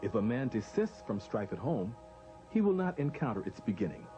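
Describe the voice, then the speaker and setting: A man reading scripted documentary narration in a measured, formal, expressive way. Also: mid-range voice. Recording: dull lo-fi audio with music.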